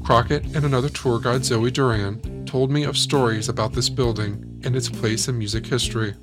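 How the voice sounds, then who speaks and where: A man's voice: mid-range voice, steady tone, moderate pace. A man reading scripted podcast narration as the host, close to the microphone. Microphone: close studio microphone. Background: music.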